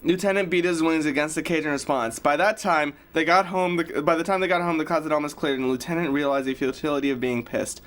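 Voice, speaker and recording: Fast, bright-voiced man reading aloud. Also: fairly high pitch, steady tone. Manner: quick, stumbling read with restarts. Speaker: stream co-host reading fan fiction aloud. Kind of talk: casual reading aloud on a stream.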